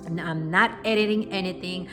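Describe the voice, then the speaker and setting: A woman with a strong Puerto Rican accent talks straight to her viewers in an earnest, reassuring way, teaching without a script. Her voice sits on the low side for a woman. Music plays clearly underneath her the whole time. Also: moderate pace. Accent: Puerto Rican Spanish. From Puerto Rico. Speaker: spiritual teacher. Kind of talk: unscripted spoken lesson to camera. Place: at home, quiet room. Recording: music playing behind the voice.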